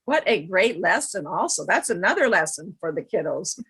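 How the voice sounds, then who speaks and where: A woman's voice: on the low side, big pitch swings, moderate pace, fairly bright tone, breathy edge. A woman hosting a children's storytime, interviewing conversationally in an effusive, encouraging way.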